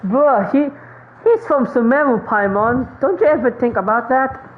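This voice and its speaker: A woman's voice: fairly high pitch, moderate pace, narrow, tinny audio, background noise. A woman giving casual, offhand commentary while playing through a game. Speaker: game streamer.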